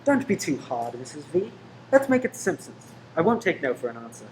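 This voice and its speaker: A woman's voice: sits low, sing-song, brisk, a little mellow. A woman playing a charming young man in a radio play, jaunty and inquisitive. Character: charming, probing young man about town named Ted. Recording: noisy room recording.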